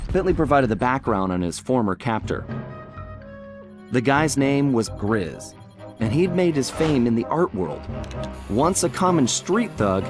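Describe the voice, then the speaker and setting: An actor playing an easygoing cartoon thief and gang leader, telling his adventures as wry video game narration with dramatic pauses. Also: medium pitch, natural voice. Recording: background music under the voice.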